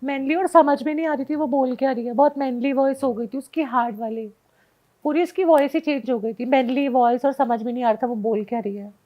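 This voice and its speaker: A young woman with a high voice tells a first-person story in a casual, conversational way. She slips English words into her sentences. The recording is dull and lo-fi. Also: fairly quick. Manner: mixes Hindi with English words. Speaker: guest.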